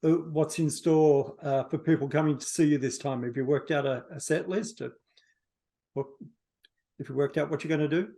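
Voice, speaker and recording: A man's voice: on the high side, fairly even intonation, moderate pace, gravelly, dark tone. A man asking casual interview questions as a music magazine editor on a video call.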